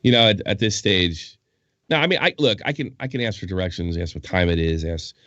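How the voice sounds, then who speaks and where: A man in his forties with a Boston accent and a deep, low voice. He rambles through profane stories, stopping and restarting mid-sentence. The audio is dry and a little dull. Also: expressive, a little fast, a bit bright, no room sound. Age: 40s. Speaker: podcast guest. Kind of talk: casual chat.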